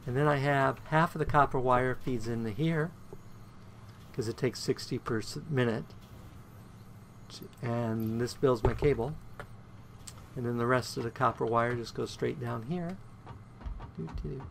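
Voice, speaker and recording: A man giving casual gameplay commentary on his own play, a bit croaky today, counting items aloud and humming to himself. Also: mid-range voice, unhurried, pauses often, slight background noise. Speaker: game streamer.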